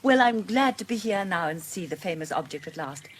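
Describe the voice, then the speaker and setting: An actress playing a genteel, wistful British lady in a radio drama, expressive and relishing her words. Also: lowish voice, a little fast, a little hiss or hum, close to an everyday voice. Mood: delighted, shuddering. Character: genteel, wistful, affectionate English lady visitor. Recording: outdoor birdsong ambience behind. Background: birdsong and outdoor ambience.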